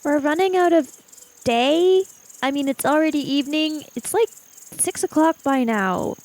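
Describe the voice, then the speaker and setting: A woman's voice: sits high, expressive, measured pace, a little mellow. A woman playing a tabletop roleplaying game, chatting casually, often as her character.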